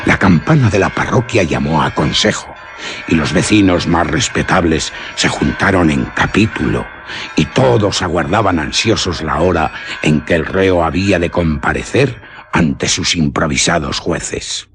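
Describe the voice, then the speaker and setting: A man with an accent from Spain narrating a scripted suspense tale for radio theatre in a solemn, measured, literary manner. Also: low voice, expressive, music in the background.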